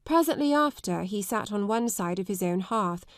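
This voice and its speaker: Female audiobook narrator, medium pitch, measured, articulate, scripted literary style, dry recording. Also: expressive, no room sound.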